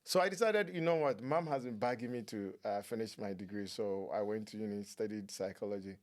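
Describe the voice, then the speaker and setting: Guest: man, 40s, lower register, relaxed storyteller. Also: fairly quick. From Ghana. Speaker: guest, clinician. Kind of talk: storytelling interview talk.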